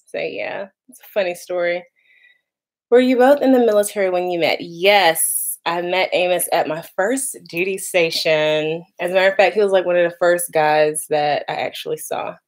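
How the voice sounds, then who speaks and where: A woman's voice: lowish voice, normal speed. A woman, chatting casually on a live stream and reading out viewer comments. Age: 30s. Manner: chatty, lots of "like" and "y'all", laughs easily. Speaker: vlogger doing a live stream.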